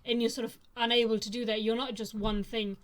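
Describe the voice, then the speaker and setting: A woman born in Germany and living in the UK, co-hosting a casual podcast chat with quick asides and jokes. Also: fairly high pitch, little pitch movement. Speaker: podcast co-host.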